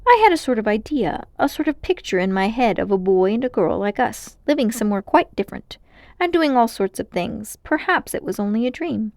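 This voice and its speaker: Storybook heroine, played by a woman: young girl, dreamy, sensible, high and animated. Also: fairly quick, no room sound, studio quality. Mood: questioning.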